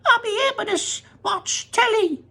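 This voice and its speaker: A tiny squeaky bratty little boy, very high and piercing, gravelly edge, storybook read-aloud. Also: moderate pace, bright, forceful tone, heavily acted. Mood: defiant. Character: tiny shrunken boy, bratty, tantrum-prone.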